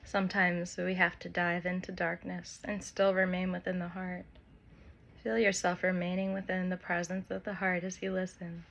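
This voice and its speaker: A woman leading a guided meditation, speaking gentle instructions in short phrases with long pauses between them. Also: on the low side, monotone, vocal fry. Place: by the open air with wind and birds.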